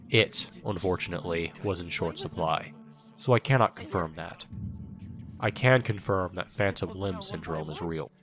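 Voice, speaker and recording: Deep-voiced, bitter young soldier. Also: male voice, a bit bright. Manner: somber, wry. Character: bitter wounded young male soldier recounting his war. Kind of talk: first-person audio drama narration.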